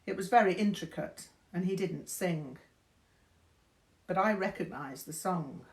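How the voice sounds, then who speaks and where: Slow-paced woman reading a memoir. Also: on the low side, gravelly, darkish tone. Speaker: reader of a memoir. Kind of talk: audiobook-style narration of a memoir. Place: indoors, small room.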